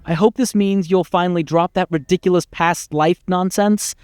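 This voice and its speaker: A man's voice: sits high, steady tone, moderate pace, darkish tone, smooth, no room sound, lightly acted. A man playing a condescending, exasperated narrator in a video game, articulate and dryly sardonic. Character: exasperated, pompous male narrator voice guiding the hero.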